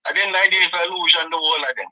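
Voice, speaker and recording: A man's voice: a little high, steady tone, very fast, no room sound. Jamaican man calling in on phone line. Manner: insistent, repetitive. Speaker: caller. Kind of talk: phone call to talk show. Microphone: telephone. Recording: dry, muffled phone line.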